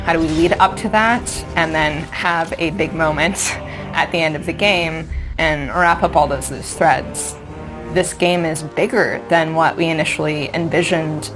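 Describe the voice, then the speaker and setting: Deep-voiced woman interviewed. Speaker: game developer. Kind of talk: promotional interview. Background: music.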